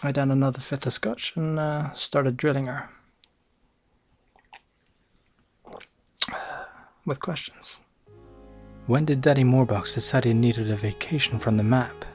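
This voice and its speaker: A man acts out a hard-drinking noir detective in an ASMR audio drama, with a Northern English accent. He is boastful and bumbling, narrating in a deadpan, hesitant way at a middling pitch, with faint music behind him. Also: normal speed, lightly acted. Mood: deadpan, rambling.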